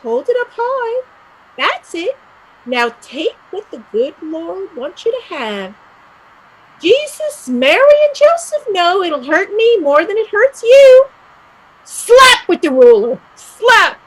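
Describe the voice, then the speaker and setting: A woman's voice: very high voice, very animated, takes it slowly, exaggerated voice, rough recording. A woman acting a restless, dreamy and bitter young Irish emigrant in a play reading, with an emphatic, lyrical delivery. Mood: harsh, mocking.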